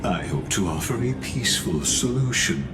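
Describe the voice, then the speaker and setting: Casting: composed aristocratic power broker in a fantasy game; very low voice, expressive swings, formal, quietly threatening. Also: male voice, noisy background. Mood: calm, diplomatic. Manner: formal, measured, diplomatic, veiled menace. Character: composed, courtly male lord and neutral political broker. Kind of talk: video game dramatic voice acting.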